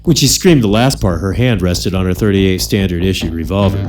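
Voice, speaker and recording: A man's voice: low voice, a little fast, music in the background. A man narrating an adult comedy radio drama, reading scripted storytelling with wry, crude humour.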